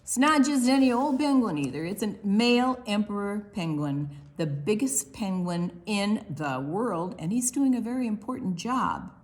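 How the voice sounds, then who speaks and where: A woman reading a picture book aloud for preschoolers, expressively and deliberately, with quiet asides to the young listeners. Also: mid-range voice, lively intonation. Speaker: children's librarian hosting online storytime.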